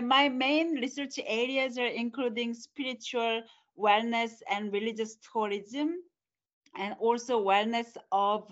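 A woman's voice: a little high, muffled recording. A woman lecturer from South Korea, introducing herself on a podcast in a careful, friendly way. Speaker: university lecturer. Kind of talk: casual self-introduction.

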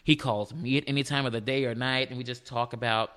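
A man who sings countertenor, speaking in a high voice, talking earnestly and warmly in a casual interview. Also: steady tone, fast, clear, resonant tone. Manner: earnest, warm, with frequent restarts. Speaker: countertenor singer, guest.

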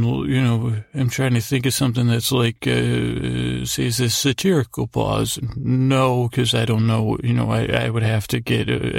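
A man with heavy vocal fry talks in an even, limited pitch range, recorded close and dry. He meanders through improvised bedtime chatter, stammering and repeating words as a podcast host. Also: dry recording. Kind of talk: rambling bedtime monologue, improvised nonsense.

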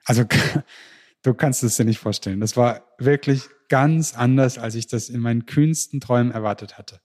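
Man from germany, interview guest, mid-pitched voice, lively storytelling, stumbles and restarts. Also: moderate pace. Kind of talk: casual podcast interview.

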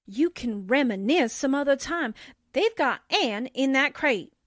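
A woman playing a feisty, excitable young sidekick in a 1940s style radio drama, urgent and slangy. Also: high voice, measured pace, dry recording, studio quality. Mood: impatient.

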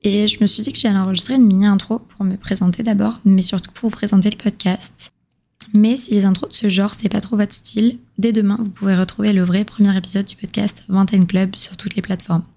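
A woman in her twenties, from southern France, talks alone into the mic as host of her own podcast. Her voice sits at a middling pitch and stays fairly flat and level. She speaks in a casual, confiding way with plenty of slang. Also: brisk. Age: 20s. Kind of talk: solo podcast monologue.

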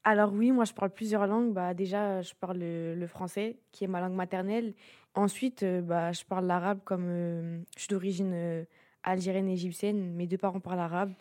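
A teenage girl, a high school student, speaking casually with many hesitations in a student podcast. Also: steady tone, fairly quick, warm tone. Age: teens. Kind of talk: recorded podcast testimony.

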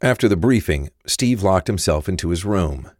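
A man's voice: low voice, expressive, moderate pace, darkish tone, dry recording, clean studio sound. A man narrating a crime thriller audio drama from a script.

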